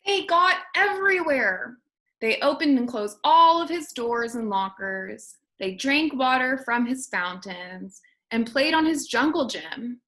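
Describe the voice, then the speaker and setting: A woman, a kindergarten teacher, reading a children's storybook aloud expressively and deliberately to young listeners. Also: sits high, animated, pushed and bright.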